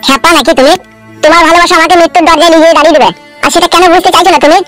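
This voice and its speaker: Man voicing a fast-talking timid cartoon simpleton. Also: extremely high pitch, steady tone, over loud music. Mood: anxious refusal. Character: timid, simple-minded married man, easily frightened. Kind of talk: amateur cartoon comedy dubbing.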